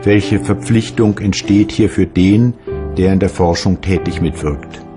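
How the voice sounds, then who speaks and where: An actor playing a reflective German physicist reading his memoirs in a husky, measured, philosophical way. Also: low-pitched, music in the background. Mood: pondering.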